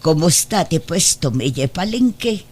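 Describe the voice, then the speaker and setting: A woman's voice: on the low side, lively intonation, a little slow, a little mellow. A woman playing a worried, protective older mother in a radio drama, with an admonishing, motherly delivery. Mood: concerned.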